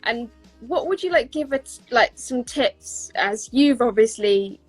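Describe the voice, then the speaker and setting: A woman's voice: a little high, lively intonation, slow pace, breathy edge, faint music behind, low-quality recording. A young woman hosting an interview, asking long casual questions with lots of fillers. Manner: rambling questions with many fillers. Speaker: host and interviewer.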